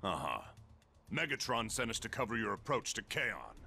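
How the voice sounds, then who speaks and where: A brusque robot soldier leader for a video game. The voice is gravelly and hugely animated, authoritative in every phrase. Music plays behind it. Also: male voice, fairly low pitch, normal speed, full character voice. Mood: commanding. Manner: barking, authoritative. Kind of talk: video game character acting.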